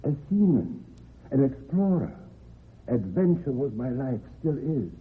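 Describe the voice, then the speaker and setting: A spooky ghost sailor grandpa, foreign accent, really husky, slow and swooping, old radio horror play. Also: male voice, mid-range voice, big pitch swings, heavily acted. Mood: solemn, revelatory. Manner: slow, portentous. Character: mysterious ghostly seafaring grandfather, eerie unseen visitor. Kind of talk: old-time radio horror drama acting. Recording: tinny, band-limited vintage radio recording.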